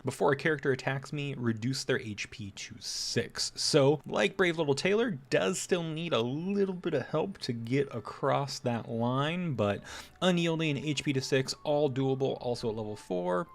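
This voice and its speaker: Casual man presenting game updates. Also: medium pitch. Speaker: presenter of game patch notes.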